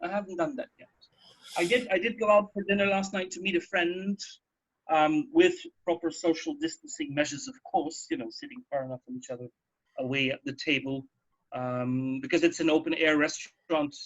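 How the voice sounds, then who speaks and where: A man's voice: a little high, normal speed. A man co-hosting a radio show from home in a casual, chatty, explanatory way, often checking in with a "right" or "yeah". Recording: dull, lo-fi home recording.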